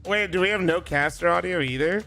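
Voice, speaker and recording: A man streaming a watch party, reacting loudly with yelling, swearing and laughter, his voice going hoarse. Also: sits high, fairly quick, faint music behind. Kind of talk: excited live reaction chat.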